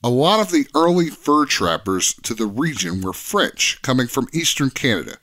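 A man's voice: mid-range voice, big pitch swings, moderate pace, fairly bright tone. A man giving an informative, scripted-sounding podcast explanation as a guest expert, with an articulate storytelling delivery.

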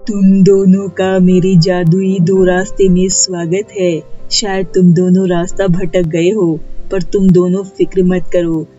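A woman voicing a mysterious sorceress in a cartoon fairy tale, speaking in a measured, declarative way. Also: on the low side, flat delivery, warm tone, lo-fi sound, soft background music, pitched below the performer's own voice, lightly acted. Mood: mysterious, commanding. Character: mysterious sorceress offering help and a choice.

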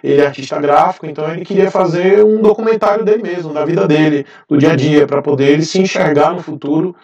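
A man in his thirties from Brazil talks straight to camera in a casual vlog. His voice sits a little high, and he rambles through fillers and restarts. The room gives his voice an echo, a bit distant from the mic. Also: fast talker, a little mellow, muffled recording. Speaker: motovlogger, channel host. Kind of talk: casual talking-head vlog. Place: indoor garage with motorcycles. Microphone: lapel mic.

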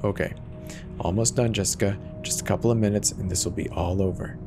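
A man playing a steady, reassuring emergency dispatcher in a horror audio drama, urging the caller along. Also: on the low side, brisk, over music, close to an everyday voice. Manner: calm, reassuring, soft-spoken urging.

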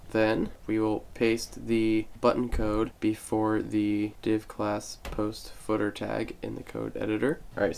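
A man's voice: fairly low pitch, vocal fry, darkish tone. A young man getting over a cold, chatting casually through a step-by-step website tutorial with self-deprecating asides. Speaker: tech tutorial host. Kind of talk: casual screen-recorded tutorial.

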